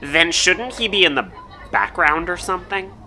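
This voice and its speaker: A woman's voice: fairly low pitch, expressive, moderate pace, pushed and bright. A woman playing an overlooked male superhero sidekick in a musical audio drama, hesitant and self-deprecating. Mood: hesitant, put-upon. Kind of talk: musical audio drama, dialogue and solo song.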